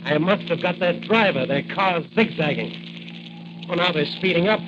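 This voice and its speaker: An American actor playing a righteous, commanding masked crime fighter in a 1940s radio adventure serial, declaiming tough talk on an old recording. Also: male voice, sits high, a little slow, lo-fi sound, very noisy. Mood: determined. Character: heroic masked crime fighter, bold, righteous young man.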